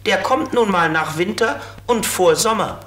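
Slow-talking, friendly winged creature. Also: male voice, sits high, lively intonation, fairly bright tone. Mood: explaining. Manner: unhurried, simple, good-natured. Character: friendly, helpful little flying companion with wings. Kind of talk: children's radio play acting.

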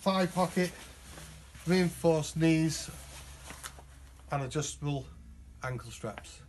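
A man's voice: on the high side, slow. A man giving a product demonstration, listing features briefly as a shop presenter. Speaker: shop presenter showing clothing. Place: indoors in a shop among clothing racks. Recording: noisy shop-floor recording.

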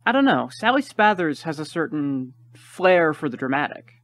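Gossipy schoolboy, male actor, eager and casual, fairly high male voice, closely recorded audio play. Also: big pitch swings, moderate pace, fairly bright tone, dry recording. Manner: casual, chatty, filler-laden teen talk.

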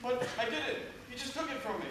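A young man on stage playing a defiant, soft-hearted animal keeper who answers back with sarcasm and indignation. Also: extremely high pitch, brisk. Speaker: amateur stage actor. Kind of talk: loud school stage acting. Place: theatre stage, distant audience camera. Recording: echoey stage, recorded from far off, hiss.

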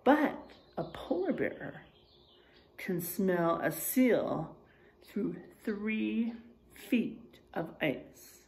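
A middle-aged woman, a kindergarten teacher reading a children's book aloud and pausing to explain things simply in a deliberate, expressive way. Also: mid-range voice, vocal fry. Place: indoors, in a room with drywall and posters.

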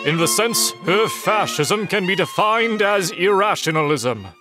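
A man with a high, very lively voice narrates theatrically. His delivery is grand and dramatic, with music playing behind him. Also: a little slow. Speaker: narrator of a comic dub.

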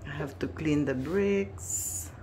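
Slow, low-voiced woman touring her basement. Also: warm tone, slight background noise. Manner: hesitant, many restarts and fillers. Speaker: home vlogger. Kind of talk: casual home tour narration. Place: finished house basement, indoors.

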